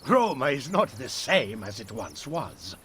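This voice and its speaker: An actor playing a nervous but stubborn cardinal in a video game. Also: male voice, medium pitch, sing-song, unhurried, fairly bright tone, slight background noise. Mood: apologetic. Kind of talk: video game cutscene acting.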